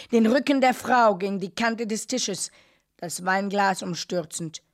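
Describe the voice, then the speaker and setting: A woman reading literary narration for a radio play in deliberate, measured phrases with pauses. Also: mid-range voice.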